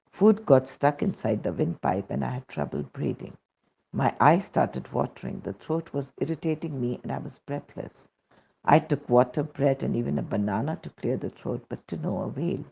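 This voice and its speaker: A very husky man reads a devotional testimonial from a script. His voice is heavy with creak and swings noticeably in pitch as he narrates. The recording is dull and lo-fi. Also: warm tone.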